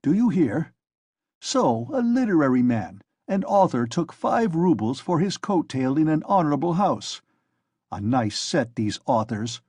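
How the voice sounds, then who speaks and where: A man's voice: a little high, sing-song, measured pace, husky, no room sound, studio quality, voice pushed up, heavily acted. A man voicing a pompous, enraged police officer who scolds and threatens in a bullying tone. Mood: stern scolding. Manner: shouting, bullying, contemptuous.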